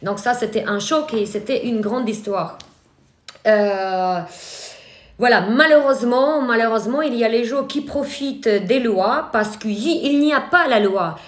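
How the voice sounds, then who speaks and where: A woman's voice: on the high side, measured pace. A woman from Ukraine with a Ukrainian accent, an eyelash extension trainer giving a live online workshop, hesitating often with filler sounds and restarts. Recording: echoey room.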